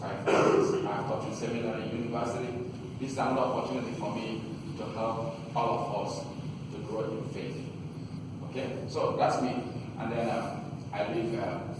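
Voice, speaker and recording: A man with a Nigerian accent, an Igbo speaker, giving a homily as chaplain, building to loud emphatic repeated phrases. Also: on the low side, background noise. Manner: rising to loud emphatic repetitions. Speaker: chaplain and priest. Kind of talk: homily at Mass. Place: church. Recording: distant mic, echoey church with long reverb.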